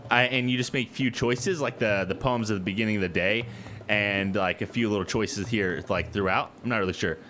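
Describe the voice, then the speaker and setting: A man's voice: lowish voice, fairly quick, bright, forceful tone, slight background noise. A young man hosting a gaming video, rambling informally and reading aloud as he gives casual let's play commentary. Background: game music.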